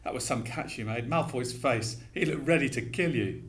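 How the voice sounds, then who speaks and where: A man's voice: mid-range voice, moderate pace, close to an everyday voice. A man voicing a cheeky, teasing teenage schoolboy.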